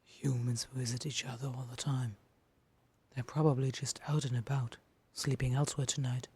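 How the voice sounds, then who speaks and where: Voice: medium pitch, level tone, normal speed, a little mellow, slightly rough, pitched below the performer's own voice. A podfic reader voicing an anguished, brooding male digital phantom who mutters anxious questions to himself. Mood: uneasy doubt.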